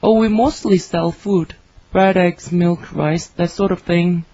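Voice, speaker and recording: A woman reading her part in a scripted English conversation for learners, with clear, careful delivery. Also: fairly low pitch, a little slow, mellow. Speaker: dialogue reader for English learners. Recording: dry, slightly dull studio recording.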